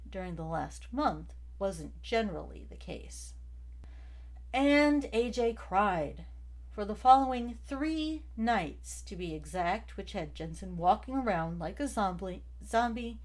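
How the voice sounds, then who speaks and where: A woman reads fiction aloud in a medium-pitched voice. She narrates as an amateur, following a written script and voicing both narration and dialogue herself. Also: a little mellow, poor audio.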